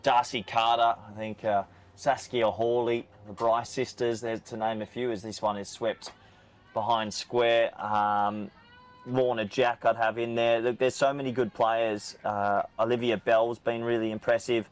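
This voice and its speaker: A man's voice: medium pitch, little pitch movement, measured pace. An Australian man doing live cricket commentary, chatty and joking with his co-commentator while reading out viewer questions.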